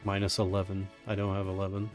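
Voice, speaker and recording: A man's voice: low voice, completely flat delivery, fast talker. A man chatting casually and jokingly, with some self-deprecation, as he plays a game on a live stream, with game music behind him. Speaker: speedrunner playing live.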